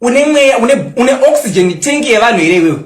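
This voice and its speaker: High-voiced young Zimbabwean man, taunting. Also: animated, a little fast, reverberant, slight background noise. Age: 20s. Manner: boastful, taunting and mocking; mixes English into local-language talk. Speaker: social media personality. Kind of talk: angry response rant.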